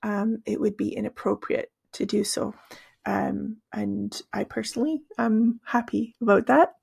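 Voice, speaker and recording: A hoarse woman teaching English, patiently explaining vocabulary from a short story with frequent pauses and restarts. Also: mid-range voice, fairly even intonation, a little mellow.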